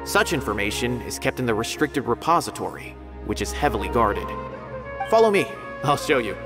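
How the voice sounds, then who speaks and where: A man's voice: medium pitch, very animated, normal speed, lightly acted. An actor voicing a polite, helpful male academic in a video game, explaining things earnestly with some concern. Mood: informative. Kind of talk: scripted video game dialogue. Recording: music behind the voice.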